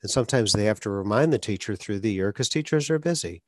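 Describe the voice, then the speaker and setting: Low-voiced man hosting, earnest. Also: lively intonation, fast talker, no room sound. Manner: earnest and advocating, with occasional stuttered blocks. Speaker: podcast host, speech clinician. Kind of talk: conversational interview.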